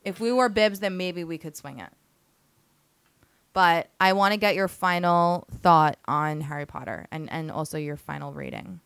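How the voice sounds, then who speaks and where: A young woman co-hosting a casual chat, teasing and quick in her back-and-forth. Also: fairly low pitch, vocal fry, fairly bright tone, clean tone, no echo. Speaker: co-host. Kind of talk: casual banter.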